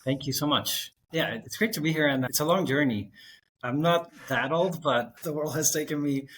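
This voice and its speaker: A man in his thirties from Costa Rica, an architect guest telling his career story in a relaxed, winding way. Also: a little high, moderate pace, a little hiss or hum. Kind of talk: conversational interview.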